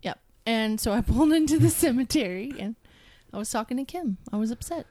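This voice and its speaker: A woman, co-hosting a casual family chat and telling stories with lively acted-out quotes. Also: a little fast, dry recording. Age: 40s. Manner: animated storyteller, acts out quotes in a high voice. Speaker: podcast co-host.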